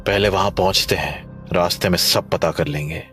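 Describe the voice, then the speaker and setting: An actor playing a calm, thoughtful man who explains things in measured horror story dialogue. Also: low voice. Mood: calm reasoning. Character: calm, thoughtful young man working out a mystery. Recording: faint music behind the voice.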